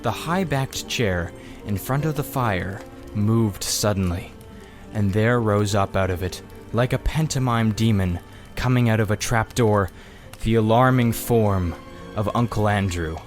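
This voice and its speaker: A man reading a children's story aloud as expressive scripted narration. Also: fairly low pitch, unhurried, soft background music. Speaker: audiobook reader.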